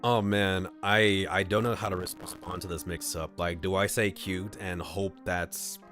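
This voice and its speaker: Deep-voiced man reading a game aloud. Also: fairly even intonation, unhurried, fairly bright tone, faint music behind. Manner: playful, thinks aloud. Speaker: streamer. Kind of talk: reading a visual novel aloud.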